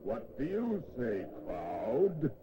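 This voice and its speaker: An actor playing a boastful, blustering wind who taunts with gleeful scorn in a drawn-out, theatrical howl for a children's fable. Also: male voice, mid-range voice, huge pitch swings, exaggerated voice. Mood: boastful, challenging. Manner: drawn-out, howling, taunting delivery. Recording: noisy old 16mm educational film soundtrack.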